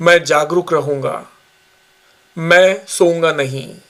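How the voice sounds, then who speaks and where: A man guiding a yoga nidra relaxation session with slow, calm, measured instructions. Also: on the high side. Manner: slow, calm, measured guidance with long pauses. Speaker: yoga teacher.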